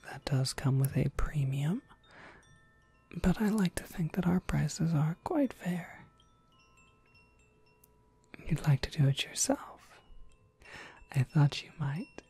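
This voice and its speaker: A woman playing a courteous, businesslike witch shopkeeper in a soft, measured ASMR whisper. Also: sits low, animated, husky, exaggerated voice. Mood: patient, explanatory. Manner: measured, polite, gently explanatory.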